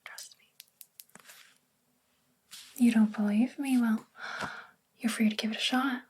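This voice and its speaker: A sweet witch librarian acted out by a woman speaks in a very breathy near-whisper, pitched on the high side. The delivery is gentle, confiding and slow, with little rise or fall, in the manner of a soft-spoken ASMR fantasy roleplay. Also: steady tone. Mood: gently teasing. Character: sweet young female witch librarian, gentle, self-doubting.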